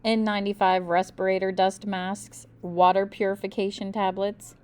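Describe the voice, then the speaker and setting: A woman reading a children's book aloud expressively, with deliberate, dramatic emphasis. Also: medium pitch, flat delivery, darkish tone, clean tone. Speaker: storybook reader.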